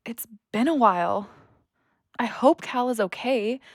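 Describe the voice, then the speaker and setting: A woman's voice: fairly high pitch, measured pace, no room sound, clean studio sound. A young woman playing a world-weary, easily exasperated daughter in an audio play, blunt and sardonic. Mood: weary, guarded. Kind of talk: naturalistic audio play acting.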